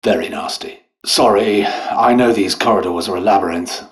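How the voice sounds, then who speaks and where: An actor playing a polite, eccentric British doctor and scientist in a video game, with refined, hesitant, apologetic and understated speech. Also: male voice, on the low side, normal speed, natural voice. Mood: regretful. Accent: British English. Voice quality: refined, well-spoken. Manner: courteous, hesitant, understated, chatty.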